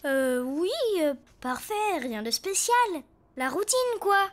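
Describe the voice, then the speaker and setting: A woman's voice: high-pitched, animated, slow. A woman voicing a bossy but good-hearted little boy superhero in a children's cartoon, childlike and exclamatory. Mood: evasive. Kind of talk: French children's cartoon voice acting. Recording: loud music behind the voice. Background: loud cartoon music.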